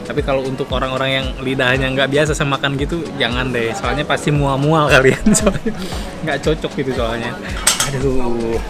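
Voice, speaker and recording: A man's voice: mid-range voice, moderate pace. A young man from Indonesia hosting a travel show, tasting food and chatting casually with lots of laughter. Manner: chatty, laughs often, relaxed slangy talk. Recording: loud music under the voice.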